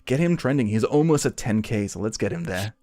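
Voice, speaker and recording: A man with an Australian accent and a soft voice, hosting a casual live stream chat in a relaxed, upbeat way. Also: medium pitch, fairly quick, no echo.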